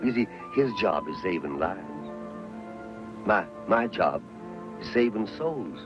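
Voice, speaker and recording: An actor playing an earnest, pious frontier preacher with a Southern US accent and a rustic, sermonizing way of talking, in an old Western. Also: male voice, lowish voice, sing-song, takes it slowly. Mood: fervent, preaching. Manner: folksy, sermonizing, rustic speech. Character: folksy frontier preacher, earnest, pious, talkative soul-saver. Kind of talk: Western film acting. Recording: muffled old film soundtrack with music.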